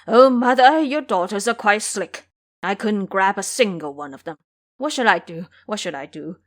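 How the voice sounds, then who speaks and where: A woman voicing a foolish, lustful pig monk in an audio storybook, loud, whining and pleading. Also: medium pitch, lively intonation, normal speed, natural voice.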